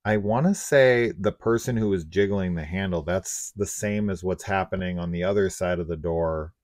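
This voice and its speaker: A man's voice: low voice, normal speed, darkish tone, dry recording, studio quality. A man co-hosting a horror review show, talking casually and conversationally about films. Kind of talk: casual conversational film discussion.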